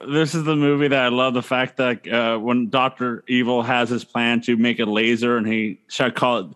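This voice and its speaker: A man's voice: medium pitch, level tone, normal speed, a bit bright. A man co-hosting a casual movie podcast, hesitant with fillers, quoting favorite lines. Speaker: podcast co-host.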